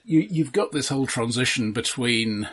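A man's voice: medium pitch, animated, moderate pace, fairly bright tone, breathy edge. A man co-hosting a film podcast, talking casually in a considered, analytical way and refining his points as he goes. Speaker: podcast co-host.

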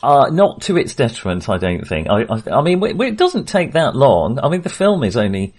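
A man's voice: medium pitch, very animated, a little fast, a little mellow. A man casually discussing a film as a podcast co-host, in a hesitant, rambling way.

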